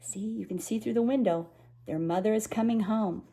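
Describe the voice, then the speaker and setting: A woman's voice: mid-range voice, moderate pace, dark tone, slight background noise. An older woman, a grandmother, reading a children's storybook aloud expressively, with little explanations for a child. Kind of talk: children's storybook narration with asides.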